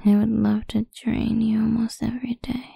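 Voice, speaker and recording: A woman playing a possessive, seductive goth vampire idol in an intimate, breathy ASMR whisper, close to the microphone. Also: on the high side, flat delivery, moderate pace, dark tone, no room sound, heavily acted. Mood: possessive, hungry.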